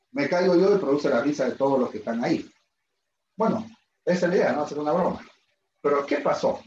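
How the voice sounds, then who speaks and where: A man's voice: medium pitch, a little mellow. A middle-aged man gives a chatty, self-deprecating online lecture and keeps asking his students questions. His headset picks up a roomy echo, and the call audio sounds slightly dull. A faint hum sits under his voice. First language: Spanish. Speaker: university lecturer. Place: room at a whiteboard, indoors.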